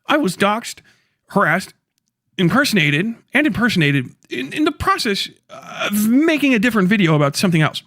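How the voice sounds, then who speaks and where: A man's voice: high voice, very animated, moderate pace, sharp tone, no room sound, studio quality. A man talking in a video clip, partly reading aloud.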